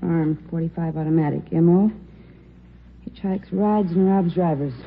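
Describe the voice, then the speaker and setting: Businesslike police clerk, lower voice, old radio sound w faint hiss. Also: female voice, normal speed, gravelly. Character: efficient, matter-of-fact female police records clerk. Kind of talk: 1950s radio police drama acting. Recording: tinny, faintly noisy old radio recording.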